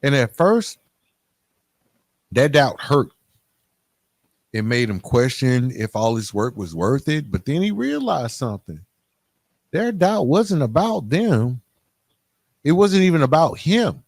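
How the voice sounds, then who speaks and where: A man hosting a podcast, telling a motivational story emphatically with dramatic pauses. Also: medium pitch, sing-song, a little mellow, dry recording. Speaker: podcast host.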